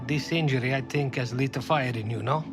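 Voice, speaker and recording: An actor playing a passionate, burdened football manager with an Italian accent in a video game cutscene. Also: male voice, mid-range voice, brisk, lightly acted. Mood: burdened, earnest. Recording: music behind the voice.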